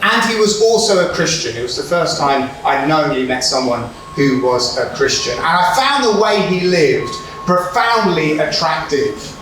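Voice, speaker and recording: Brief: forties male speaker, Hebrew-native, Israel-raised, storytelling sermon, slightly higher voice, booming hall, heard from off-mic. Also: sing-song, moderate pace, few pauses, slight background noise. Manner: storytelling, warm humour, rhetorical questions. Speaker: conference speaker. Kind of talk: sermon-style talk. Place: large hall with audience. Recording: echoey hall, far from the mic.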